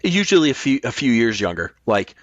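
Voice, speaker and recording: A man's voice: mid-range voice, very animated, fairly quick, no room sound, dull audio. A middle-aged American man in casual, opinionated chat, making his points emphatically and swearing freely.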